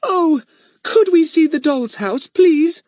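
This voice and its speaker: Man playing an eager, high-pitched little girl. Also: slow, no echo, clean studio sound, exaggerated voice. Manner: excitable, gushing. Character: eager, impulsive little girl, bubbly and confident.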